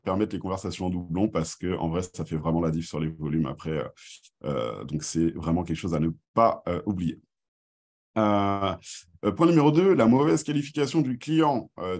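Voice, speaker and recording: A man with a low-pitched voice leads an informal business presentation. His delivery is halting, with many filled pauses as he works through each point. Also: darkish tone.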